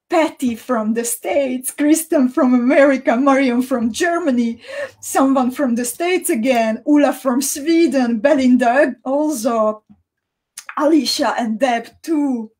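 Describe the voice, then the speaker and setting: Slow-talking woman with Central European accent. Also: a little high, darkish tone. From Slovakia. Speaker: beading teacher and livestream host. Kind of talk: casual livestream chat. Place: small indoor home studio room.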